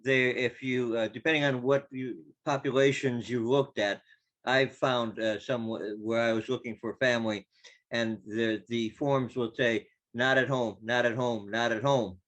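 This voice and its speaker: A man's voice: medium pitch, steady tone, unhurried, fairly bright tone. A man, a club member, explaining something casually from his own experience over a video call.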